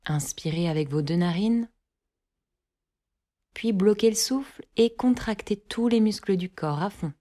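A woman guiding a yoga nidra relaxation, giving calm, measured instructions in short phrases with long pauses between them. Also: mid-range voice. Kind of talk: guided relaxation meditation, scripted instructions.

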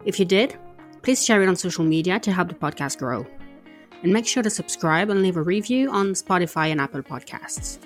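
She is a woman hosting a podcast, with a voice lower than usual. She asks short, friendly questions and reads her sign-off, with faint music behind her. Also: expressive, fairly quick. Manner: brief, friendly questions and backchannels. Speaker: podcast host. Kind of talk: interview and scripted outro.

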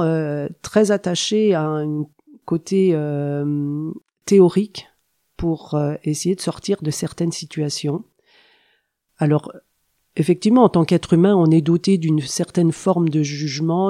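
A woman in middle age talks in a warm, mellow voice, unhurried and teacherly. A light cold leaves her a little congested. She pauses often to gather her thoughts while explaining. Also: deep voice. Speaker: guest, traditional Chinese medicine practitioner. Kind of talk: explanatory interview talk.

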